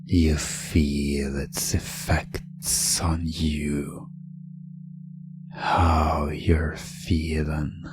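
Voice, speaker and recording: A man delivers hypnotic ASMR narration in a low voice. His pace is extremely slow, hushed and breathy, lingering on phrases. Music plays behind him.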